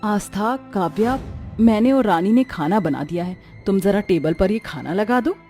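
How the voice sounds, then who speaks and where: A woman's voice: mid-range voice, lively intonation, normal speed, mellow, pitched below the performer's own voice, close to an everyday voice. A woman voicing a patient, put-upon young daughter-in-law in a cartoon, polite and pleading. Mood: mild. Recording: music under the voice.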